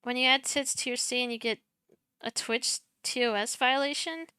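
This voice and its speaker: Soft-spoken young woman on a headset. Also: on the high side, steady tone, normal speed, clear, resonant tone, dry recording, studio quality. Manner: chatty, self-deprecating, mutters while clicking. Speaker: streamer teaching streaming setup. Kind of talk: casual screen-share tutorial.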